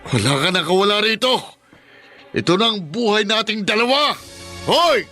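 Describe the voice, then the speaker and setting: An actor playing a threatening, confrontational gambling crony in a radio drama. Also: male voice, sits high, big pitch swings, moderate pace, pushed and bright, faint music behind, exaggerated voice. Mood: menacing. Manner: menacing, confrontational.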